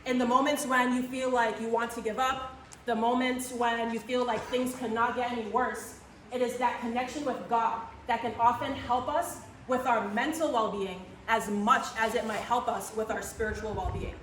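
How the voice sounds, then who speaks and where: A woman's voice: a little high, fairly even intonation, normal speed, a bit bright. Earnest woman speaking in an echoey church. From Barbados. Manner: earnest, restarts phrases, asks the audience questions. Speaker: psychiatry resident giving a church talk. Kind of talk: talk to a church audience. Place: church in the Caribbean. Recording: echoey church room from far off, faint noise.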